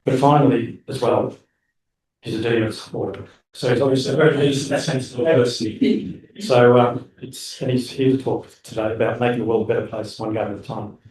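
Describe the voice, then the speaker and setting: A man introducing a guest speaker at a club meeting, halting and full of fillers. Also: medium pitch, steady tone, a little mellow. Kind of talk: introduction speech. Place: meeting room. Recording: very echoey room, distant camera.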